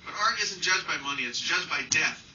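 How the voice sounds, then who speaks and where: A man's voice: a little high, lively intonation, a little fast, pushed and bright. Man, author reading live, wry and self-deprecating, echoey room, far from the mic. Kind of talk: live reading of a personal essay. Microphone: distant from the speaker. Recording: distant, roomy, muffled, noisy live recording.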